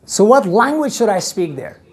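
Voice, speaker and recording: A man's voice: high-pitched, normal speed, vocal fry, breathy edge. An Afghan-accented man in his thirties lectures a class in a small classroom, gesturing and throwing questions at his students. His voice is picked up by a camera across the room. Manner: animated, gestures widely, asks the class questions. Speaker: English teacher.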